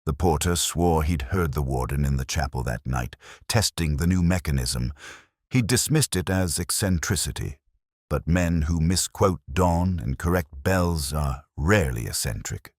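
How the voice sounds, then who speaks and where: A man playing a weary, melancholy English police detective, narrating a radio mystery in a measured, literary, introspective manner. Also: rumbling low, lively intonation, no room sound, studio quality. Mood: sombre, reflective. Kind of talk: slow literary first-person detective narration, radio drama.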